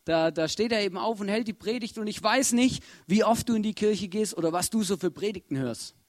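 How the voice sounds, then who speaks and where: Man, high voice, dry close sound; relaxed chatty Bible teacher who keeps checking in with the group. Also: fairly quick, no echo. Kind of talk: casual Bible talk to a group.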